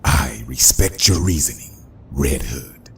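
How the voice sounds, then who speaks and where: Casting: suave comic-book mob boss, extremely low and gravelly, grandiloquent, unhurried menace. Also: male voice, expressive, fairly bright tone, heavily acted. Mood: coldly commanding. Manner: pompous, deliberate, grandiloquent. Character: suave, menacing middle-aged male crime boss, theatrically eloquent. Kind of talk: motion comic voice acting.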